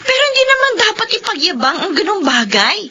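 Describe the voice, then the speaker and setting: An actress playing a modest, plain-spoken, easily irked wife in a Filipino radio drama. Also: female voice, extremely high pitch, sing-song, fast talker, pushed and bright, exaggerated voice. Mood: irritated, complaining. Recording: dull lo-fi audio with clear hiss.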